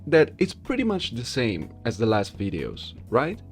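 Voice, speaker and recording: A man's voice: medium pitch, huge pitch swings, moderate pace, faint music behind. A man giving casual, conversational commentary as a video creator, talking informally to his viewers. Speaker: video creator and amateur voice actor. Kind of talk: casual commentary on a game voice-acting challenge.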